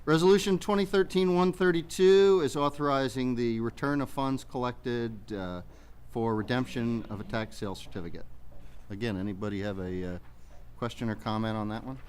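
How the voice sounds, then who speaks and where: A man's voice: medium pitch, moderate pace, darkish tone. A man speaking as a mayor, running a public meeting and reading out procedural business. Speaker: mayor chairing a township committee meeting. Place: public meeting room with audience. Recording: faint background noise in meeting room.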